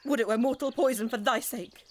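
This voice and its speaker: A woman's voice: on the high side, fairly quick, a little mellow, close to an everyday voice. A woman playing a bitter, grieving noblewoman in a Shakespearean audio drama, with a formal, cutting, theatrical delivery. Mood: bitter scorn.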